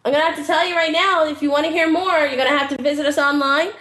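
A woman with a high voice hosts a casual podcast. She talks in a chatty, excitable way and swears freely. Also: rapid-fire. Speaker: podcast co-host.

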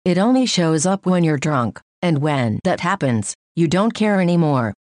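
A prim, condescending voice assistant, played by a woman, delivers sarcastic answers in flat, deadpan lectures. The voice sits a little low. It is recorded close and completely dry. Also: moderate pace, dry recording. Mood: deadpan snarky. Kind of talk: scripted comic bit.

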